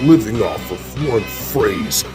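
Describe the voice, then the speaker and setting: A man's voice: fairly low pitch, big pitch swings, pitched below the performer's own voice. A man voicing a dramatic promo narrator for a podcast. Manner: slow dramatic drawn-out delivery. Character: deep slow male podcast promo narrator. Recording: voice under loud guitar music.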